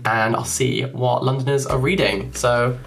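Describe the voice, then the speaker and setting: A young man in his twenties giving an animated, jokey book review vlog, filmed at home and on a night street. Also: mid-range voice, measured pace, gravelly, slight background noise. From England. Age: 20s. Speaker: book vlogger. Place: bedroom, indoors; also night street outdoors.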